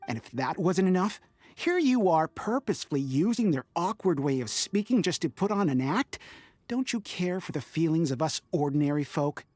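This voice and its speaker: A man's voice: a little high, animated, normal speed, darkish tone, dry recording. A man voicing a blunt, exasperated townsperson in a video game, with an indignant manner.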